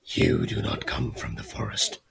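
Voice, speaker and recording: A man voicing an ancient, wary giant spider in a fan audio drama, with a slow, ominous, breathy rasp. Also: a bit bright, natural voice. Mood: wary menace.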